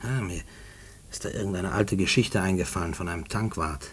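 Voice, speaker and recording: An actor in a post-war German radio play playing a haunted, fatalistic family man, brooding and halting in manner. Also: deep voice, breathy edge. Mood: musing, troubled. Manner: brooding, halting, often dropping to a whisper. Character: haunted, fatalistic husband and father, a murderer awaiting execution.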